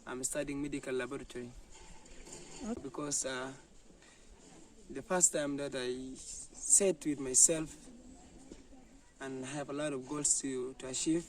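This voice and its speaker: Distant, halting young man. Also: medium pitch, fairly even intonation. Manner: halting, restarts with fillers. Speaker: student and lab assistant. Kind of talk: interview answers. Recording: distant mic with faint noise.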